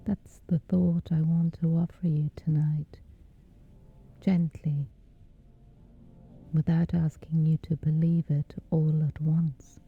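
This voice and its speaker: A woman speaking softly in a whispery, breathy voice, gently and soothingly guiding a sleep meditation with long, measured pauses. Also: low-pitched, little pitch movement. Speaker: guided meditation host. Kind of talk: slow guided sleep meditation. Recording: dull muffled audio under music. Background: music.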